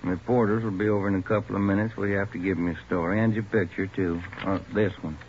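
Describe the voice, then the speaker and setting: Steady male detective, acted, needling and firm, low-pitched, faintly hissy 1940s radio crime show. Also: fast talker, lightly acted. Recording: dull, noisy old radio recording.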